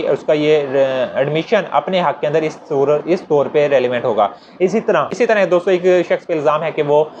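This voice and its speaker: A man's voice: a little high, darkish tone. A man with a Pakistani accent giving an informal explanatory law lecture, conversational, repeating his points and walking through examples. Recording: dull lo-fi audio with noticeable noise.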